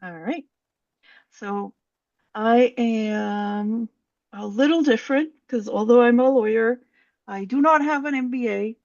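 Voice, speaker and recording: Slow, gravelly-voiced woman coach. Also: a little high, no echo. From Puerto Rico. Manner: slow, gentle, thoughtful with fillers. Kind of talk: casual chat. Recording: dry, dull lo-fi mic.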